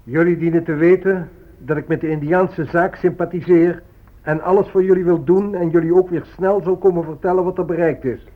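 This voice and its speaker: A man's voice: high voice, fairly even intonation, a little fast, close to an everyday voice. Man acting a smug official, muffled old recording. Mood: patronizing. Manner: condescending, official. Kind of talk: Dutch radio play acting. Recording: dull, lo-fi radio recording.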